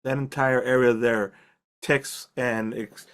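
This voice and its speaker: Male game master, mid-pitched, unpolished, filler-heavy narration. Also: little pitch movement, a bit bright. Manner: loose, halting narration with many fillers.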